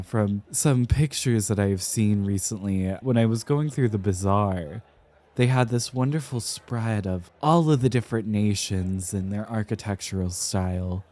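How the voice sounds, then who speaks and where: A man playing an anxious, rambling young architect in an ASMR roleplay, with crisply enunciated, theatrical but hesitant speech. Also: medium pitch, a little mellow, natural voice. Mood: enthusiastic. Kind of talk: ASMR roleplay, speaking to the listener.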